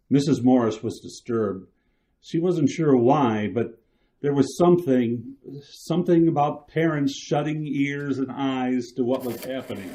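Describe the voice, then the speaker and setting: Male narrator, mid pitch, mellow dark tone, measured radio-play delivery. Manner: measured storytelling.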